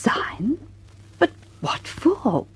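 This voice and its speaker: An actress playing an anxious, devoted wife in a radio drama, her voice breathy and husky. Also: slow, in a whisper, close to an everyday voice. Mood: anxious, tender.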